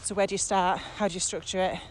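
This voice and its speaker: A woman with a mid-pitched voice talks in a casual, enthusiastic way as a podcast co-host. She is walking outdoors, with faint ambient noise behind her. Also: fast. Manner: enthusiastic, animated about the subject. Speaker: podcast co-host and virtual training coach. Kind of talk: casual conversation recorded while walking. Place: outdoors, walking on a muddy path.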